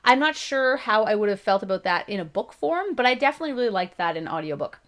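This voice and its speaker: Chatty gravelly-voiced woman reviewing books. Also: mid-range voice, lively intonation, fast talker, a bit bright. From Canada. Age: 30s. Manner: chatty and self-deprecating, with frequent laughs and restarts. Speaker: book reviewer, channel host. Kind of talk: casual spoken book review.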